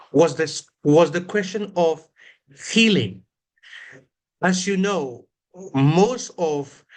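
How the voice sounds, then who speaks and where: A man from northern Ghana with a Ghanaian accent, giving a slow, reflective prepared talk at a commemoration event, lifting his voice on key words. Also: a little high, a bit bright. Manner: slow, deliberate, with emphatic rises on key words.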